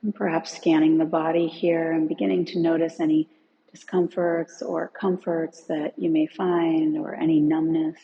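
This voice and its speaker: A woman teacher leading a guided relaxation in a gentle, unhurried way, leaving long pauses between phrases. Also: sits low, flat delivery, vocal fry, lo-fi sound, slight background noise. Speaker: meditation and yoga teacher.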